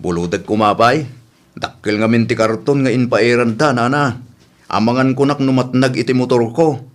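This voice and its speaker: Mid-pitched dutiful son, acted by a man. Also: normal speed, lightly acted. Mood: eager. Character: dutiful, eager hardworking adult son. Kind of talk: radio drama acting.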